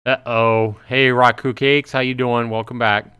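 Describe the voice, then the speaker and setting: A man's voice: mid-range voice, little pitch movement, measured pace, sharp tone, clear, resonant tone, no room sound. Casual man hosting a game livestream. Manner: informal, reacting aloud and chatting to viewers. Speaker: livestream host. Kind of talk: casual game livestream commentary with dramatic read-aloud.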